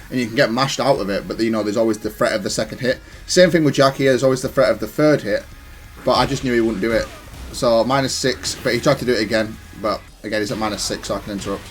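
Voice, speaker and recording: A man giving casual, analytical commentary on his own gameplay, self-critical but matter-of-fact and even-tempered. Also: medium pitch, fast talker. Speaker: gaming content creator commentating on own matches.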